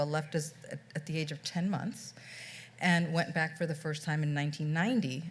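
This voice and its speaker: A middle-aged woman speaking as an author on a panel, reflective and often restarting her sentences. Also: deep voice. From United States. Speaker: author on a book festival panel. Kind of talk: panel discussion.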